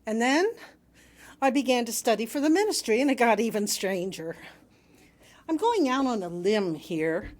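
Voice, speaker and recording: A woman reading a sermon aloud to a congregation in a measured, emphatic way with wry humour. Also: a little high, very animated, darkish tone. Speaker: reader delivering another minister's sermon.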